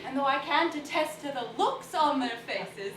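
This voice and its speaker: Woman as bright, high-voiced, teasing young daughter. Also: lively intonation, normal speed. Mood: mischievous. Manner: playful, articulate, theatrical. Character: cheerful, witty blind young toymaker's daughter, teasing. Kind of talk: stage play acting. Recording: echoey stage, distant mic, faint noise.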